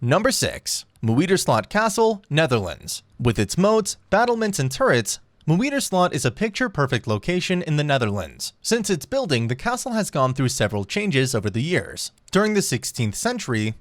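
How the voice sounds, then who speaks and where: A man's voice: a little high, big pitch swings, normal speed, few pauses, dry recording, studio quality. A man reading scripted narration for a travel countdown video in a polished, promotional manner.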